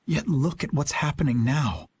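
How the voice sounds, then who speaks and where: An actor playing an earnest, principled young knight captain in a video game, speaking in a measured, thoughtful way. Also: male voice, mid-range voice, sing-song, darkish tone, a little hiss or hum, close to an everyday voice. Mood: solemn. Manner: measured and reflective, rising to a rousing rally. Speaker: knight commander.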